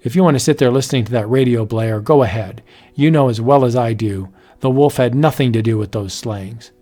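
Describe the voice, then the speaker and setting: A man's voice: medium pitch, brisk, warm tone, pitched below the performer's own voice, lightly acted. A man voicing a rugged, skeptical hero who turns brave in a read-aloud horror story. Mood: dismissive.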